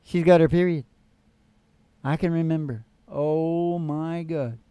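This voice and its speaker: A man's voice: a little high, fairly even intonation, slow, dark tone, smooth, no room sound. Middle aged guy, rambly jokey stage banter between songs, talking into a mic. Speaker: podcast host and musician. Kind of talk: casual joking banter on stage. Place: small indoor stage with curtain. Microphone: stage microphone on a stand.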